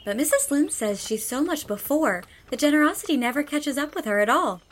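A woman's voice: high-pitched, animated, a little fast, voice pushed up. A woman voicing a frank, chatty, gossipy teenage girl in an audiobook story. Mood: gossipy, knowing. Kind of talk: audiobook character dialogue.

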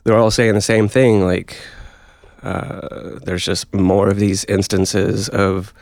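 Man, very slow, gravelly, halting and thoughtful, dry sound. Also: lowish voice, flat delivery, a little mellow, dry recording. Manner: slow, halting and thoughtful, with many fillers and swears. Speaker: guest. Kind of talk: casual chat.

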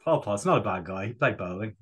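A man's voice: on the low side, animated, fast talker, a bit bright, slightly rough. A man chatting casually as a comedy podcast co-host, rambling and digressing with a jokey manner.

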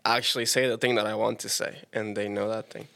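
A man's voice: on the low side, brisk, vocal fry. A young man whose first language is Spanish, chatting casually in long, loose sentences full of "like" and "I mean.". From Panama. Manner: relaxed, talks in long run-on sentences with frequent "like" and "I mean". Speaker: guest. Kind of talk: casual conversation.

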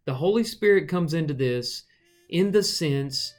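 A man's voice: on the high side, animated, a little slow, a little mellow, faint music behind. Man reasoning through scripture aloud. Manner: reasoning, posing rhetorical questions. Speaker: Bible study podcast co-host. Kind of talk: conversational Bible study discussion.

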